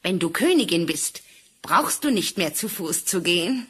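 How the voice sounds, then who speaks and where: Very husky, cruel fairy-tale stepmother. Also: on the low side, big pitch swings, moderate pace, a bit bright, heavily acted. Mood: coldly urging. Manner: pushy, scornful, commanding.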